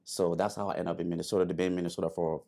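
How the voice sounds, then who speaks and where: A man from Liberia telling his story in an interview, halting with many fillers and restarts. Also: deep voice, level tone, gravelly, warm tone. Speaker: interview guest, airman.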